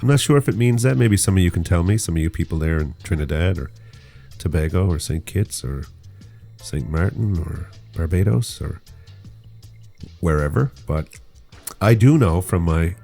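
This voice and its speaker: A man's voice: low voice, expressive, moderate pace, vocal fry, warm tone, soft background music. A man co-hosting a casual podcast, joking and rambling as he explains island slang. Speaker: podcast co-host. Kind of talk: casual chat.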